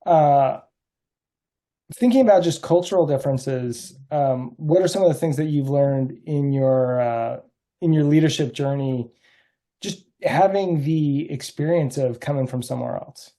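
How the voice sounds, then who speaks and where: Mellow-voiced male interviewer. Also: on the high side, a little slow. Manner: friendly, probing questions. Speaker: interviewer, host. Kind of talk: casual interview on a video call.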